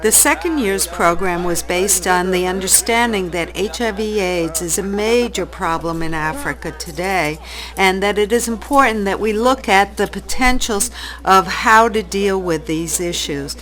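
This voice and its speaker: A woman's voice: mid-range voice, normal speed, fairly bright tone. A woman presenting a programme in a scripted narration style.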